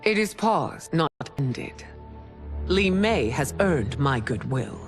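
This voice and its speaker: An actress playing a proud, suspicious empress in a video game, with a formal, imperious delivery. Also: female voice, low-pitched, big pitch swings, takes it slowly, soft background music, full character voice. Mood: suspicious, cold. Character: proud, suspicious regal empress, stern and commanding. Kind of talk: dramatic video game cutscene acting.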